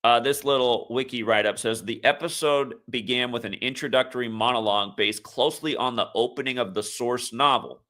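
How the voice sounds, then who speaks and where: American man with a bright, forceful voice. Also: mid-range voice. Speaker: online commentary host. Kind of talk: conspiracy commentary, reading articles aloud.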